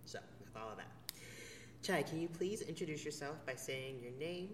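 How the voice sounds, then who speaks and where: A man's voice: on the high side, expressive. Distant man interviewing in an echoey hall. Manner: measured and formal, then gentle questioning. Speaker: oral history interviewer. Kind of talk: formal read introduction, then interview questions. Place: theater venue. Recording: distant mic in a roomy, noisy hall.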